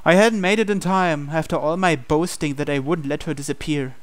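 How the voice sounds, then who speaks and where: A man's voice: fairly high pitch, fairly quick. A man reading visual novel text aloud as dramatic scripted narration for a playthrough.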